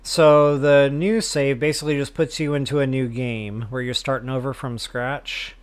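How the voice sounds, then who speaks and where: A man's voice: medium pitch, level tone, normal speed, smooth. A man talking casually to his viewers as a game livestreamer, chatty and informal. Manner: chatty, addresses viewers as guys and y'all. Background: game music.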